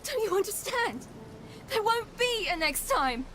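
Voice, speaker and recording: An actress playing a headstrong young warrior woman in a video game, spirited and articulate, quick to challenge. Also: extremely high pitch, big pitch swings, pushed and bright, a little hiss or hum, exaggerated voice. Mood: angry, upset. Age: young adult. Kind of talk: cinematic video game acting.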